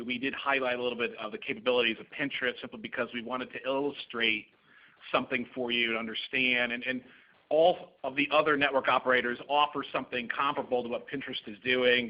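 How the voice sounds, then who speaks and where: A man in the middle pitch range presenting at a seminar, explaining practical points in a brisk, plain way. The recording is dull and muffled, like a meeting room webcast. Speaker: lawyer presenter. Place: conference room with a live audience.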